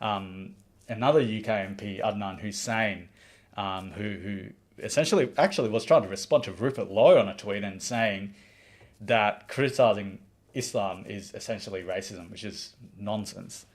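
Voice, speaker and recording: A man's voice: fairly low pitch, moderate pace. A man from the Maldives hosting a livestream, giving earnest opinionated commentary and reading out viewer comments, with frequent restarts. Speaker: livestream host.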